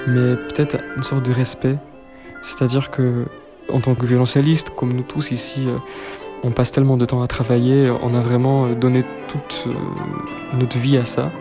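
A man in his twenties from Poland, a cellist answering interview questions in a second language, soft-spoken and hesitant with many fillers. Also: medium pitch. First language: Polish. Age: 20s.

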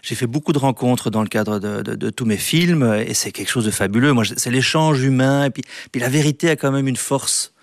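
A man of middle pitch answers interview questions in a halting way, restarting his sentences and letting them fade. The studio sound is dry. He talks in a relaxed, conversational way. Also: no echo. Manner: hesitant, many restarts, soft trailing off. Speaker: documentary filmmaker guest.

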